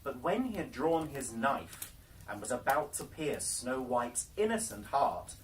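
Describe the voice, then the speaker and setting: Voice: medium pitch, big pitch swings, a little slow, far from the mic, slight background noise, rough recording. A storyteller narrating a fairy tale in a dramatic, theatrical storybook style.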